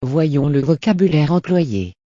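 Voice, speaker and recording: A man narrates an instructional lesson from a script. His voice is mellow and a little high for a man, with an encouraging, teacherly manner. The recording is very dry and slightly dull. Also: lively intonation, a little slow, no echo. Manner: encouraging, didactic. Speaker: language lesson presenter.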